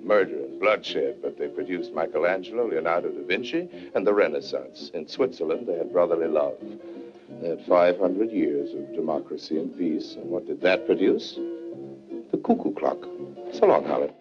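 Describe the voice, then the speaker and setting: Casting: a very deep-voiced, unrepentant racketeer with breezy charm, dull old film sound. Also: male voice, lively intonation, moderate pace, music in the background. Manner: smooth, teasing, breezy. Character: charming cynical male racketeer, amused, unrepentant.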